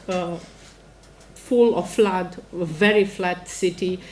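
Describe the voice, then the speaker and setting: A woman's voice: medium pitch, darkish tone. A middle-aged woman from Romania with a Romanian accent, a native Romanian speaker, giving a formal academic address as a professor. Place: indoor lecture event before an audience.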